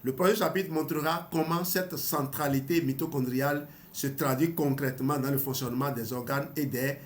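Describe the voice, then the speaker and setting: A man's voice: on the high side, steady tone, normal speed, slight background noise. A man in his fifties reading a health book aloud and adding his own comments, often hesitating and restarting. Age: 50s. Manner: hesitant, with restarts and small laughs. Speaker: health channel host.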